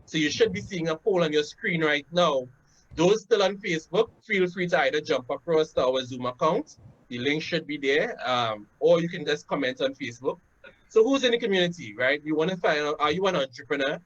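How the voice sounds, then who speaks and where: A man's voice: on the high side, expressive, a little fast. A man in his thirties with a Trinidadian accent, hosting a webinar from a room at home in a casual, conversational way, often tagging his points with "right?". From Trinidad and Tobago. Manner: conversational, frequent "right?" tags and "um" fillers. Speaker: webinar host. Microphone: laptop webcam on a video call. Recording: dull lo-fi video call audio.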